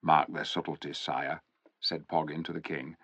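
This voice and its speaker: A man's voice: a bass voice, flat delivery, normal speed, fairly bright tone, no room sound, pitched below the performer's own voice, full character voice. A man voicing a wary, loyal male dwarf who mutters a warning in a storybook reading. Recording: dull lo-fi recording.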